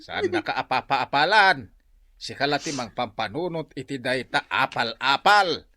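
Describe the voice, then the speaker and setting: A man acts out a preachy, scolding father in an Ilocano radio comedy. His pitch swings wildly and his voice is bright and forceful. He has an Ilocano accent. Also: sing-song, normal speed, dry recording.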